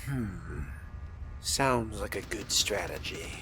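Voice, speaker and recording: A man's voice: on the low side, expressive, background noise. A man playing a newly turned vampire in a role-playing game, suave, aloof and menacing, with formal, theatrical phrasing. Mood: cool, intrigued. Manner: slow, theatrical, formal phrasing, often hushed.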